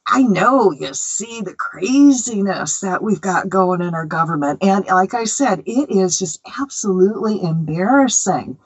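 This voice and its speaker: A woman's voice: medium pitch, animated, measured pace, hardly any pauses, rough recording. An American woman hosting a spiritual radio show, talking in a chatty, opinionated way with many fillers. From United States. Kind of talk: casual spiritual radio talk.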